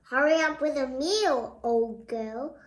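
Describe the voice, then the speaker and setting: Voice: sits high, takes it slowly. A child voicing a demanding, grumpy, lazy husband and father in a storybook, with a bossy, exclaiming manner. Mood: bossy, impatient. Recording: roomy, echoing home recording.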